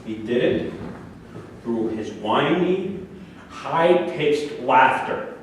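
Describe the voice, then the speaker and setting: An actor in a staged play reading, playing a delusional, defensive murder defendant who speaks earnestly and insistently, repeating words haltingly. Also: male voice, medium pitch, lively intonation. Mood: agitated, obsessive. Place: staged reading in an echoey room. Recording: echoey room, distant mic, faint noise.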